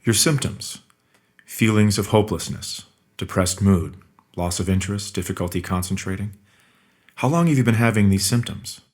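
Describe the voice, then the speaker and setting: A man's voice: fairly low pitch, moderate pace, darkish tone, natural voice. A man playing a businesslike doctor in a naturalistic audio drama, clinical and matter-of-fact. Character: businesslike male doctor questioning a patient.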